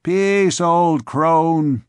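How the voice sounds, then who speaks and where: A man's voice: a little high, drawn-out words, smooth, dry recording, studio quality, voice pushed up. A man voicing a tall, grave male tradesman who scolds an old woman in an old storybook tale. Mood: stern. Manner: grave, reproving. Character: tall grave male furrier, stern bourgeois.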